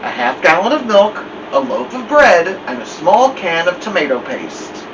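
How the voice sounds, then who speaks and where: A man's voice: high-pitched, very animated, moderate pace, creaky, pitched above the performer's own voice, heavily acted. Man voicing a stern, fed-up mother, muffled and distant. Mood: firm, exasperated. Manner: firm, clipped, emphatic scolding. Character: stern, fed-up mother scolding her teenage son. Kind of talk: storybook read-aloud dialogue. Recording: muffled lo-fi, distant mic, loud hiss.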